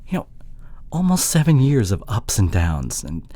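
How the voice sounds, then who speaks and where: Breathy, pleading boyfriend played by a man, swinging pitch. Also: huge pitch swings, mellow. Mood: apologetic, earnest. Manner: halting, sighing, slipping into breathy murmurs. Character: apologetic, pleading long-distance boyfriend, insecure young man. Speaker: audio roleplay voice actor. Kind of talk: intimate dramatic boyfriend roleplay audio.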